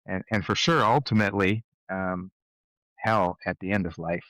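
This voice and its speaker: A man's voice: sits low, steady tone, moderate pace, fairly bright tone, dry recording, low-quality recording. A man, an author, speaking thoughtfully and a little hesitantly in a casual conversation about faith. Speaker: author and guest.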